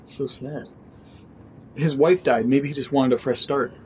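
A man's voice: mid-range voice, fairly even intonation, unhurried, a little mellow, close to an everyday voice. An actor playing a skeptical, anxious, sensible young man in a naturalistic audio drama. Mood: subdued, doubtful. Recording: clear background noise with ambience.

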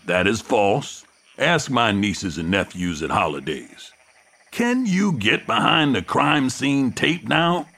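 An actor playing a gruff, stubborn loner police detective in an audio drama, curt and grumpy in a deadpan way. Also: male voice, mid-range voice, slow, heavily acted. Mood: curt, irritated. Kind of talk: audio fiction acting.

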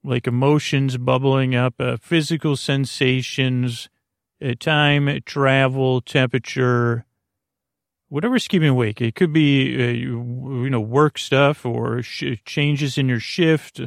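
A man hosting a sleep podcast with a creaky voice, giving a gentle, soothing, whimsical monologue that meanders through hesitations, fillers and tangents. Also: medium pitch, steady tone, slow, no room sound, clean studio sound. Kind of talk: rambling bedtime monologue.

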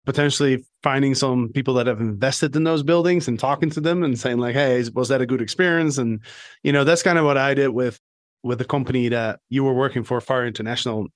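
A man's voice: mid-range voice, fast, dry recording, studio quality. A man hosting a podcast interview, talking casually with lots of fillers and asking his guest questions. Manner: casual, many fillers like "like" and "right". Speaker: podcast host.

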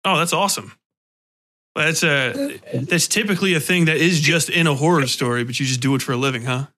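Bright-voiced, casual male podcast host. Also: fairly high pitch, a little fast. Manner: informal, slangy.

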